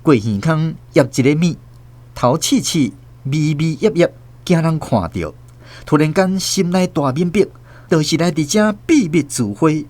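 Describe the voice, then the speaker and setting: Animated high-pitched Taiwanese male storyteller. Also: measured pace. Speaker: narrator. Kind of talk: dramatic scripted storytelling narration.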